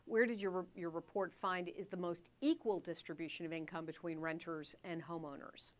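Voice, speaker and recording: A woman's voice: lowish voice, fairly even intonation, normal speed. A woman hosting an interview show, asking conversational, curious questions while losing her voice. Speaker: show host.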